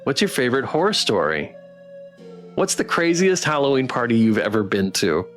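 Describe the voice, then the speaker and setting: A man's voice: mid-range voice, sing-song, moderate pace, fairly bright tone. A man streaming a game, chatting casually as he reads game text and viewer comments aloud. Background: game music.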